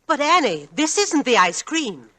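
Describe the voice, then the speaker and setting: A woman's voice: sits high, big pitch swings, unhurried, sharp tone, full character voice. A woman playing a disapproving, self-pitying older mother-in-law in a 1940s radio drama. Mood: prim. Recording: faintly noisy vintage 1940s radio broadcast.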